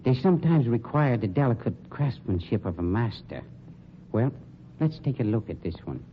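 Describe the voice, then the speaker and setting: An actor in an old-time radio crime drama playing a suave, sardonic funeral director with polished, condescending delivery. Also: male voice, mid-range voice, animated, natural voice. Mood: dry sarcasm. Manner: polished, sarcastic, condescending, deliberate diction. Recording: dull, noisy old radio recording.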